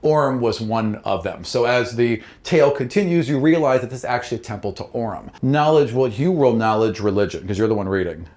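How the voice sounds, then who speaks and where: A man's voice: medium pitch, brisk, few pauses, a little mellow, slight background noise. Man running a tabletop game, echoey room. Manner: casual, conversational, occasionally profane. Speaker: game master. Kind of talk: improvised narration of a tabletop fantasy game. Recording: roomy, echoing room sound.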